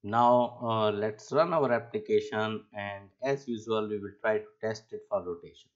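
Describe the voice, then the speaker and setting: A man giving an unscripted coding tutorial as an instructor, explaining step by step in a halting way, on a dull lo-fi microphone. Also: on the low side. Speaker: programming instructor.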